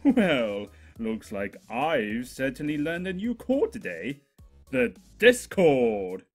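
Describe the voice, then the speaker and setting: A man's voice: mid-range voice, big pitch swings, slow pace, faint music behind, exaggerated voice. A man voicing a cheerful, smug male pony with a mocking streak in a comic storybook reading. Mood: smugly joking. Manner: cheerful, showy, nonchalant, mocking. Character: chirpy smug male pony, secretly a gleeful demon. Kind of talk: comedic storybook character voice.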